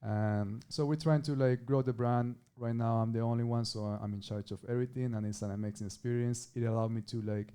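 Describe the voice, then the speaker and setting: Mellow, smooth-voiced man from Ecuador. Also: mid-range voice, steady tone, fairly quick, few pauses. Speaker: guest, tennis pro and personal trainer. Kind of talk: casual podcast chat.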